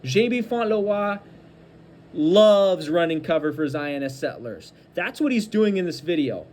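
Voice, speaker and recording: A man's voice: on the high side, lively intonation, a little slow, darkish tone. A man giving angry, combative political commentary, hurling insults and hammering points through repetition. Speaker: host of a political commentary show.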